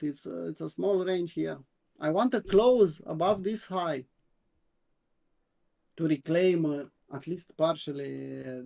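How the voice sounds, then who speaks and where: A man from Romania with a Romanian accent, reviewing crypto charts on a live stream in a halting way, with many fillers and repeats. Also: high voice, vocal fry, darkish tone. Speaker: co-host, crypto chart analyst.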